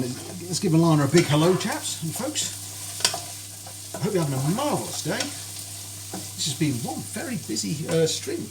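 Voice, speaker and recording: Guy rambling away while he cooks, voice bouncing up and down, pans sizzling loud behind him. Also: on the high side. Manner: chatty, genteel and cheerful, greeting viewers by name. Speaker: cooking streamer. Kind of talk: live cooking stream chat. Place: home kitchen. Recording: kitchen noise with sizzling frying.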